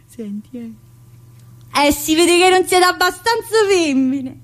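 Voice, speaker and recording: A woman's voice: extremely high pitch, expressive, slow pace, a bit bright, full character voice. A woman playing a cheeky, needling female prison inmate in a colloquial theatre monologue, with a southern Italian accent and a teasing, familiar manner. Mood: playful, mocking. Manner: teasing, familiar, full of rhetorical questions. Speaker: stage actress performing a monologue. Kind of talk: theatrical monologue in colloquial dialect-tinged speech.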